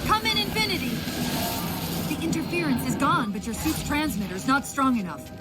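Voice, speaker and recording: A woman playing a sharp-witted military AI companion in a video game, speaking in a brisk, urgent, instructive manner. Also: high voice, lively intonation. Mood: alarmed. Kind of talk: video game cutscene acting. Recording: loud music and effects behind.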